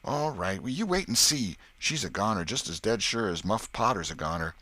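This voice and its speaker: A man voicing an excitable, superstitious, self-pitying schoolboy in a rural Southern American dialect for an audiobook. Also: lowish voice, normal speed, no echo, voice pushed up. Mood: eager, superstitious. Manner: folksy dialect, exclaiming and whispering. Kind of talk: audiobook character acting.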